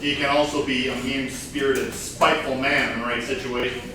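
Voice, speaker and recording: A man's voice: medium pitch, flat delivery, moderate pace, lightly acted. Man playing a touchy guest in echoey room. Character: touchy, stubborn, self-important male party guest. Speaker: actor in a staged reading. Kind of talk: theatrical comedy play reading. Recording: very echoey room, distant mic, faint noise.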